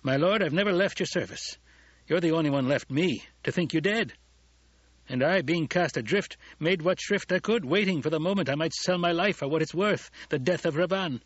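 A man's voice: fairly high pitch, normal speed, dry recording, muffled recording, pitched above the performer's own voice, lightly acted. A man voicing a loyal veteran soldier in an audiobook, deferential to his lord, speaking earnestly and emphatically. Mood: impassioned, loyal. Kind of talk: audiobook character dialogue.

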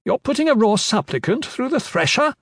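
A man voicing an imperious, sharp-tongued old woman magister who scolds everyone around her. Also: very animated, brisk, slightly rough, no echo, clean studio sound, full character voice. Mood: indignant. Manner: scolding, shrill.

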